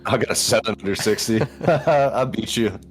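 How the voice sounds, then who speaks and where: A man's voice: fairly low pitch, normal speed, low-quality recording. A man playing a tabletop roleplaying game, chatting casually with the others at the table.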